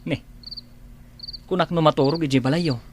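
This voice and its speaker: An actor playing a concerned friend who gives frank advice in a radio drama, earnest and coaxing. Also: male voice, fairly high pitch, normal speed, close to an everyday voice. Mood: casual, concerned. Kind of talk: Ilocano radio drama acting. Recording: noisy track with clicks and insects.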